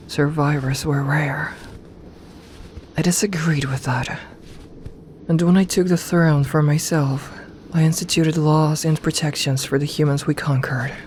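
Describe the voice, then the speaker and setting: A woman playing an imperious serpent queen in an ASMR audio roleplay, speaking formally and with measured dignity, commanding yet tender toward her companion. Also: deep voice, a little mellow. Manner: regal, formal, measured speech. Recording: ambient noise behind the voice.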